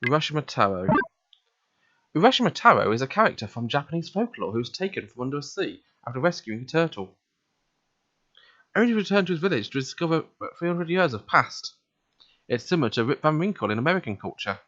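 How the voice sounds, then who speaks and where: A man's voice: a little high, very animated, fast talker, a bit bright. Man reading a visual novel, slightly muffled mic. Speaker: let's play reader voicing the protagonist. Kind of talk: reading visual novel text aloud with casual asides.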